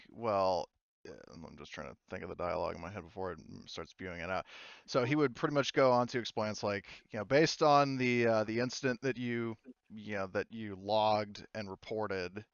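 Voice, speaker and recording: A man acting as game master, improvising tabletop narration in a casual, halting, rambling way. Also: lowish voice, clear, resonant tone, no room sound, lo-fi sound.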